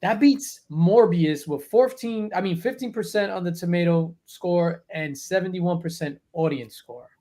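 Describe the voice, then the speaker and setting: A man's voice: high voice, a little slow, hardly any pauses, warm tone. A man hosting a casual pop culture panel, joking and swearing as he reads out comments and topics. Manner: profane, jokey, reads viewer comments. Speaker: podcast host.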